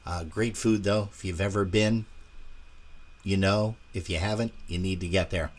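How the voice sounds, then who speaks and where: A male host with a somewhat low voice, talking in a relaxed, folksy way on a live weekend broadcast. He keeps things casual and friendly, and his speech is dotted with "uh" fillers as he thanks listeners and wraps up. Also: normal speed. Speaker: show host.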